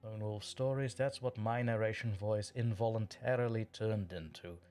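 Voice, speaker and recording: A man's voice: fairly low pitch, steady tone, moderate pace, darkish tone, faint music behind. A man hosting a livestream, chatting casually and playfully with his audience and reading their comments aloud, often chuckling. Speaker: livestream host reading chat.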